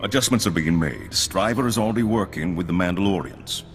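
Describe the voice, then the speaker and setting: Game conspirator, stern, clipped, deep voice, long reverb. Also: male voice, brisk, faint music behind. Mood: calm, stern. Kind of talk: video game drama acting.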